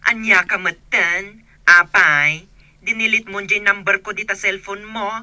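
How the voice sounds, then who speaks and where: A woman's voice: mid-range voice, fairly even intonation, measured pace, sharp tone. A woman acting an envious, teasing friend on a call in a radio drama, with an Ilocano accent.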